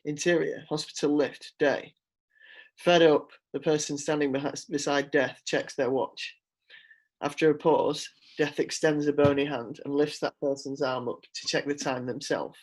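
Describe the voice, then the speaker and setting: A man's voice: a little high, steady tone, normal speed, low-quality recording. A man narrating scene descriptions from a script in a dramatic reading. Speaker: script reader. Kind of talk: scripted narration, screenplay read-through.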